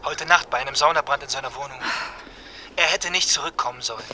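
A man's voice: mid-range voice, fast, sharp tone, a little hiss or hum. An actor playing a male police colleague in a radio crime drama, with a dry, teasing manner. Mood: grave.

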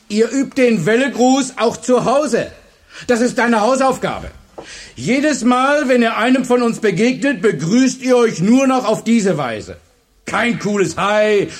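An actor playing a charismatic, authoritative high school teacher who instructs and rallies a class in a radio drama. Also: male voice, full character voice. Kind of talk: German radio drama acting.

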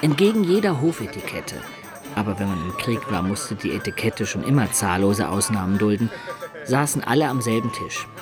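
An actor playing a storyteller who recounts his medieval adventures as measured radio play narration. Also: fairly low pitch, sing-song, over music. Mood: recounting.

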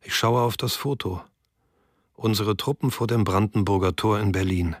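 Male diary reader, quiet and measured, dry booth. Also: lowish voice, no room sound. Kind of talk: scripted radio reading.

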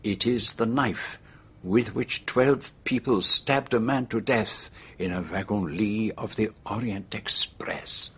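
A man's voice: lowish voice, slow pace, fairly bright tone, breathy edge, full character voice. An actor playing an older male detective in a radio drama, formal and courteous, with a French-speaking European accent and polite hesitations. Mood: quietly dramatic. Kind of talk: BBC radio drama acting.

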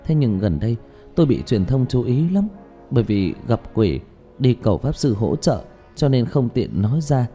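A man's voice: medium pitch, sing-song, moderate pace, mellow, soft background music. A man voicing a polite, hesitant young female singer in an audiobook story, with an earnest manner. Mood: apologetic, explaining. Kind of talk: audiobook character dialogue.

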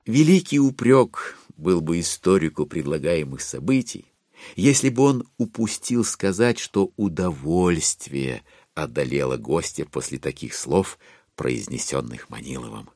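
An actor as a wry narrator, reading a classic novel as dramatic literary storytelling. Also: male voice, a bass voice, expressive, measured pace, a little mellow, dry recording, clean studio sound, heavily acted. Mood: ironic.

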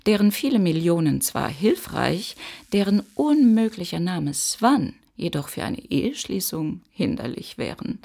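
An actress playing a composed, worldly storyteller in a literary radio drama, deliberate and poised, with a gravelly, husky voice. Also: female voice, mid-range voice, a little mellow, heavily acted. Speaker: narrator actor in a radio play.

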